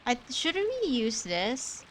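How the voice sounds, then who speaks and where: A woman's voice: fairly high pitch, big pitch swings, slow pace, a little hiss or hum. A woman streaming a video game, giving casual live reactions and commentary as she plays.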